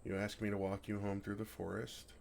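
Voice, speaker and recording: A man playing a gentle, affectionate, innocently oblivious dragon in an intimate, earnest ASMR roleplay. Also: lowish voice, monotone, fairly quick, vocal fry, slight background noise. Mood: puzzled affection. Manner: earnest, softly teasing, innocently puzzled.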